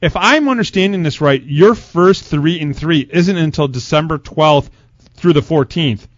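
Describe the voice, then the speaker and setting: Higher-pitched male radio host, dry lo-fi sound. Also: normal speed, dry recording. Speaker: sports radio show host, interviewer. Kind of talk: conversational hockey radio interview.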